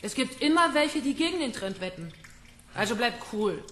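A woman's voice: on the high side, sing-song, normal speed, a bit bright, a little hiss or hum. An actress playing a cold, mocking female kidnapper in a radio crime drama, taunting with cool control. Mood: taunting, controlled. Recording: echoey pool-like room, music behind.

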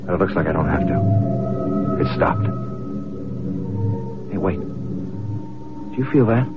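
An actor playing a skeptical, stubborn and exasperated husband in a radio drama. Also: male voice, mid-range voice, huge pitch swings, takes it slowly. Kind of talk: 1970s radio drama acting. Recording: dull old radio broadcast with music.

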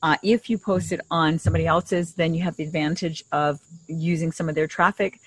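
A woman's voice: low-pitched, steady tone, fairly quick, husky, dry recording, poor audio. A middle-aged woman co-hosting a podcast, explaining things conversationally into a desktop microphone outdoors. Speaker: podcast co-host. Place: outdoors in a leafy garden. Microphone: desktop condenser microphone shared between two.

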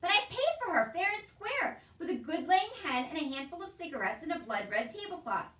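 A woman's voice: fairly high pitch, expressive, a little slow, pushed and bright, full character voice. Woman acting an indignant storybook uncle, roomy sound. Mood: aggrieved. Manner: exclaiming, aggrieved. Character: poor, indignant, grasping male uncle. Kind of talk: storybook read-aloud character voice. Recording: roomy echo, distant from mic.

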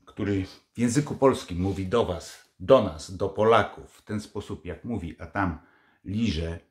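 A man's voice: sits low, measured pace. An older man vlogging from his living room to a close camera, scolding and mocking in a sarcastic tone. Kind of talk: angry political commentary to camera.

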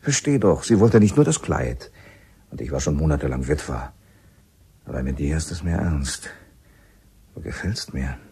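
A man playing a pushy forty-year-old village womanizer in a radio play, coaxing and blustering in a rough, husky voice. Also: rumbling low, animated, moderate pace, pauses often, dark tone, heavily acted. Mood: indignant, wheedling. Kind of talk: naturalistic radio drama acting.

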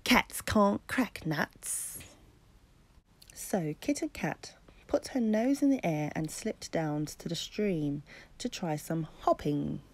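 A woman narrates a children's storybook with very lively intonation. Her pace is unhurried and deliberate, and there is noticeable fry in her voice. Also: low voice.

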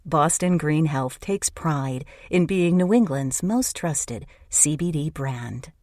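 A woman with a slightly low voice reads a scripted podcast sponsor spot. The sound is very dry, with no room to it. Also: animated, slow pace, darkish tone, no echo. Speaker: sponsor read announcer.

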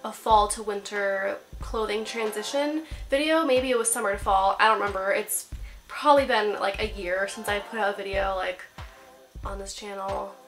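A woman's voice: mid-range voice, steady tone, moderate pace, a bit bright, faint music behind, low-quality recording. A young woman in her twenties, getting over a cold, chatting upbeat with lots of "like" as she vlogs from her apartment bedroom. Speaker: lifestyle vlogger. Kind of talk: casual chatty tutorial. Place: bedroom in a small apartment, seated on bed.

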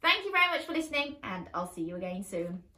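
A woman in her thirties reading a picture book aloud to young children at bedtime, animated and expressive, with playful asides to her listeners. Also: mid-range voice, quick pace, echoey room. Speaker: children's storyteller. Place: small bedroom, indoors, seated on a bed.

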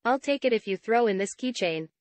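A woman reading short English sentences slowly and clearly for learners, repeating each one evenly. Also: on the high side, lively intonation, a little mellow, dry recording.

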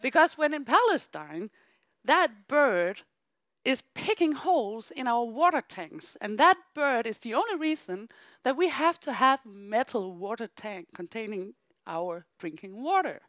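Animated woman telling a story on stage. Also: a little high, a little slow, dry recording. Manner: animated, acts out voices in quotes. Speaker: keynote speaker. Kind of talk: storytelling keynote talk. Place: large theater stage. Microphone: handheld microphone.

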